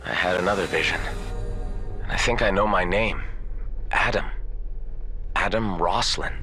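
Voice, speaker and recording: A man's voice: low-pitched, normal speed, over music, close to an everyday voice. A man playing an earnest, troubled amnesiac clone pilot in a dramatic video game. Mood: subdued, uncertain. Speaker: protagonist and flashback narrator.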